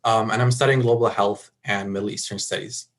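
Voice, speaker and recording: Young guy who grew up in germany, student, fairly low voice, friendly hello. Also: steady tone, a little fast. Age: young adult. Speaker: student panelist.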